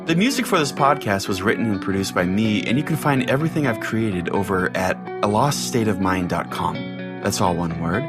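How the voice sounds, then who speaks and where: A man hosting a podcast, reading a scripted sign-off in a wry, deadpan way. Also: fairly low pitch. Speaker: podcast host. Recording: music bed under the voice. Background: plucked guitar music.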